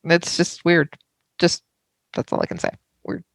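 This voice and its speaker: An androgynous voice with noticeable creak and a moderately varied melody. The co-host chats casually, putting in short questions and remarks. The recording is dry and close. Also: medium pitch, sing-song, unhurried, no room sound. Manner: brief, questioning interjections. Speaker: podcast co-host. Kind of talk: casual chat.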